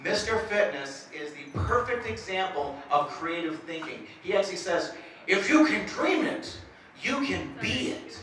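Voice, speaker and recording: A man's voice: high voice, expressive, unhurried, fairly bright tone, a little hiss or hum. A middle-aged man giving a comedic stage talk, with a slight stutter and self-mocking jokes. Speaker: motivational speaker. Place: presentation hall. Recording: echoey hall, mic from far off.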